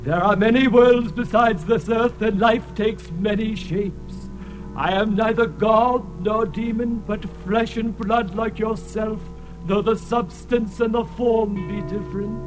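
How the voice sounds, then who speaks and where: Ancient tormented otherworldly being, fantasy audio play: high register, very slow, archaic and mournful, over music. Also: male voice, fairly even intonation, rough recording. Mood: grave, incantatory. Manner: archaic, grandiose, lamenting speech. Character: ancient winged alien being, sorrowful, tormented, solemn. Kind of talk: dramatized fantasy audio drama. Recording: music laid under the voice.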